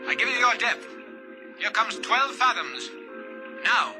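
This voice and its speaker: An actor playing an earnest Portuguese sea diver with careful, clipped, formal phrasing, in a 1950s radio drama. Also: male voice, very high-pitched, big pitch swings, measured pace, exaggerated voice. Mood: careful, steady. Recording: vintage radio recording with music.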